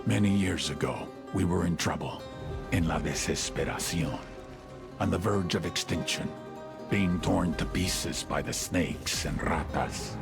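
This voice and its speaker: A grave cartel zealot delivers a reverent sermon in a game. The voice is very deep and gravelly, moving slowly and deliberately over prominent music. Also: male voice. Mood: reverent, solemn. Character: solemn cartel preacher exalting his queen like a saint. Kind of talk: slow sermon-like dramatic monologue. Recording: music behind the voice.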